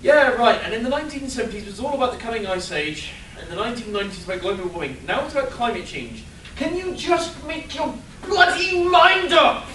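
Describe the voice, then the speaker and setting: A man's voice: very high-pitched, big pitch swings, a little fast, sharp tone, full character voice. A man playing a sarcastic, foul-mouthed and exasperated superhero sidekick in a comic radio play, grumbling his lines. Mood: exasperated ranting. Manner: sarcastic, grumbling, stammering starts. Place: convention hall stage. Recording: echoey room, distant mic, noisy.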